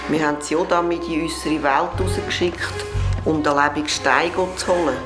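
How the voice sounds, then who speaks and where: A woman plays a deposed queen in hiding, her voice set very low and speaking with calm precision and regret. She has a Swiss German accent, and the line sounds echoey, with music playing underneath this children's radio drama. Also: brisk, lightly acted. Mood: regretful. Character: fallen warrior queen, adult woman, ashamed fugitive.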